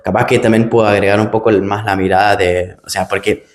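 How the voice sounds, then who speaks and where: A man's voice: on the low side, little pitch movement, fast talker. A German man who speaks Spanish picked up in Argentina, hosting an interview in a casual, hesitant way with many restarts. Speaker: project manager, interviewer.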